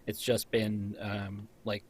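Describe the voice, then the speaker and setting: A man's voice: on the low side, monotone, takes it slowly, slightly rough. An American man who teaches college, chatting casually in a group conversation.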